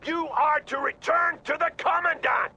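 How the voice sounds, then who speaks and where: Gravelly, gruff army colonel. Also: male voice, extremely high pitch, fairly even intonation, moderate pace, bright, forceful tone, heavily acted. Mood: angry, urgent. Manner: curt, authoritative. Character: gruff, exasperated male American colonel commanding by radio. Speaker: military commander. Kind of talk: video game military radio acting. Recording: battle noise over the voice. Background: gunfire, artillery and vehicles.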